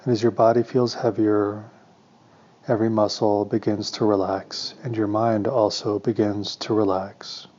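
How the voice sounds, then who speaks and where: A man leading a guided meditation as a teacher, speaking calm, deliberate, soothing instructions with pauses, on a slightly muffled recording. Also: lowish voice.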